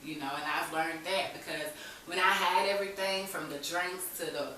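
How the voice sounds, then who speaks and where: Woman w low voice telling her story on a talk show, really echoey, far from mic w some hiss. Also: fairly even intonation, a little fast, bright, forceful tone. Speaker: talk show guest in recovery. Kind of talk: personal testimony in a conversational interview.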